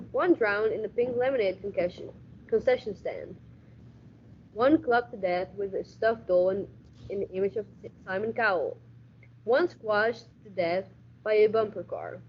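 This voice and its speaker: A woman's voice: mid-range voice, natural voice. A teenage woman playing a hard-nosed male police detective in a radio play, narrating in hardboiled style with some stumbles over her script. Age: teens. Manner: stumbles and repeats words while reading. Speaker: school radio drama actor. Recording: dull audio with faint noise.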